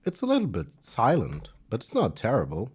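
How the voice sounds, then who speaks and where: A man with a German accent chatting casually on a music livestream, relaxed and self-deprecating, with plenty of fillers. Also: mid-range voice, fairly quick, husky.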